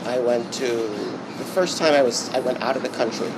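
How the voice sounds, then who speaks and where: A man's voice: mid-range voice, normal speed. Bahamian man in a reflective interview. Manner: reflective, many ums and restarts. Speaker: interview guest. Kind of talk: casual interview talk. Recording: steady background noise.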